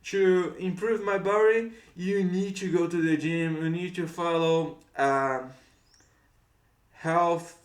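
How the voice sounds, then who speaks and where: High-voiced Brazilian man, earnest coaching. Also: reverberant. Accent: Brazilian Portuguese. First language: Portuguese. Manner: earnest, repetitive coaching phrases. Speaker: English tutor. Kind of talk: motivational talk to camera.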